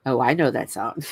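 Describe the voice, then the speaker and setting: A woman's voice: rumbling low, a little mellow, slight background noise. A woman co-hosting a casual crafting live stream, tossing out dry, teasing jokes. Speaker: co-host.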